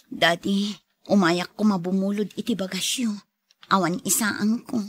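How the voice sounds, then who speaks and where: A woman's voice: medium pitch, fairly even intonation, normal speed, fairly bright tone, slightly rough, dry recording. An actress playing a worn-down young wife and mother who pleads, in a Filipino radio drama with a Filipino accent. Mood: weary, pleading.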